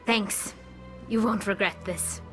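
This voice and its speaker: A woman's voice: mid-range voice, steady tone, takes it slowly, fairly bright tone, soft background music. A woman voicing an eager, earnest young alien in a video game, explaining what she knows.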